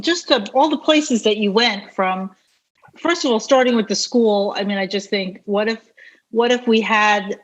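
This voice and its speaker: A woman hosting a podcast interview, asking long rambling questions with many fillers. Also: mid-range voice. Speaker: podcast host. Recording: slightly dull lo-fi sound.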